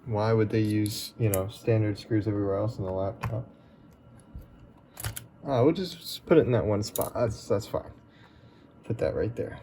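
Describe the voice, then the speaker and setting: A man hosting a relaxed, chatty repair walkthrough, his voice going a bit hoarse as he works. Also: on the low side, normal speed, long pauses, slight background noise. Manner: relaxed, chatty, with many fillers. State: losing his voice. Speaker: tech repair video host.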